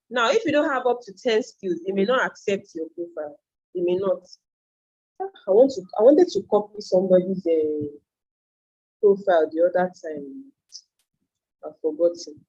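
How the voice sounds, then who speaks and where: A woman's voice: medium pitch, darkish tone, lo-fi sound. A woman with a Nigerian accent and Yoruba as a native language gives an informal step-by-step tutorial, explaining and thinking aloud as she goes. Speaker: online freelancing trainer.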